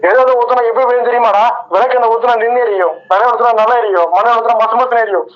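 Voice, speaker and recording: A man's voice: very high-pitched, steady tone, racing. A man acting a swaggering Tamil film tough guy, with punchy, declamatory dialogue over a muffled phone line. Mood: boastful.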